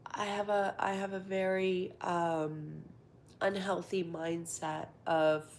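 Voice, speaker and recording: Woman host, sick and congested, low gravelly voice, slow and easy delivery, live chat from home, often near a whisper. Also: slight background noise. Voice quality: congested, nasal. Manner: soft-spoken, drifts into half-whispers, many fillers. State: stuffed up with a cold. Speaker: livestream host. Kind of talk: casual live-stream chat.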